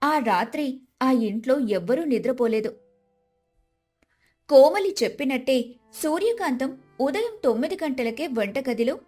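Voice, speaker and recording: High-voiced woman narrating a story. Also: a little mellow. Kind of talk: scripted moral-story narration. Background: background music.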